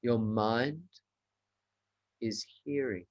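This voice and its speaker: A man leading a guided meditation as a teacher, giving measured instructions in short, spaced phrases. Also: mid-range voice, lo-fi sound.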